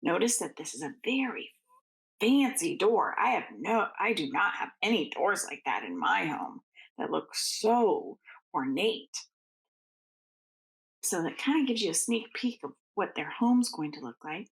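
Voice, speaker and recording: A woman reading a storybook aloud to children as a teacher, with expressive, deliberate phrasing and informal asides. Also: fairly low pitch, gravelly, rough recording.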